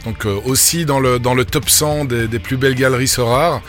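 A man hosting a casual podcast, talking enthusiastically with many pauses and fillers. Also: mid-range voice, fairly even intonation, fairly bright tone, faint music behind. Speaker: podcast host.

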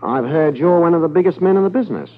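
An actor playing a shrewd, smooth-talking gold smuggler in a 1950s radio drama, guarded and deliberate. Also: male voice, a little high, animated. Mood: polite, probing. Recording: narrow-band vintage 1950s radio recording.

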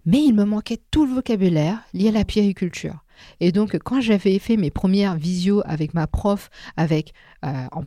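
A woman's voice: medium pitch, normal speed, warm tone, no echo, studio quality. A French woman speaking as a podcast guest, talking casually with frequent hesitations and filler sounds. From France. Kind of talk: casual interview conversation.

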